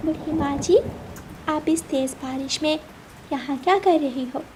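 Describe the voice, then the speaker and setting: A woman voicing a kind, meek little mother sparrow in a cartoon story, politely and softly pleading. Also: high voice, a little slow, noisy background, voice pushed up. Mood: gentle, concerned. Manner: polite, pleading, soft-spoken. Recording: loud rain and thunder behind.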